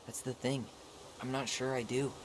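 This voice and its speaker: A man's voice: medium pitch, level tone, normal speed, noisy background, lightly acted. An actor playing an earnest, insistent young man haunted by the past, in a naturalistic video game cutscene. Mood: uneasy, searching. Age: young adult.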